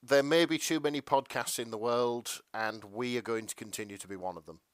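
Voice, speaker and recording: A man chats casually as a podcast co-host. His voice is clean and smooth, recorded close and dry, and he speaks in a halting, rambling way, doubling back on his sentences. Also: mid-range voice, no echo. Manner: hesitant, halting, self-interrupting.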